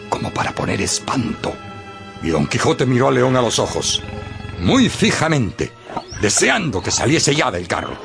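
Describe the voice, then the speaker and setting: A man tells a scripted radio story with theatrical suspense. His voice is fairly low and creaky and moves widely in pitch. Music runs clearly underneath. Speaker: narrator.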